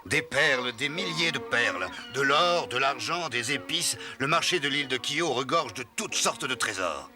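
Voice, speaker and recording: A man's voice: medium pitch, lively intonation, normal speed, few pauses, natural voice. A man voicing a hearty, experienced merchant sea captain in a French cartoon, speaking expressively. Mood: proud, boastful. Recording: music behind the voice.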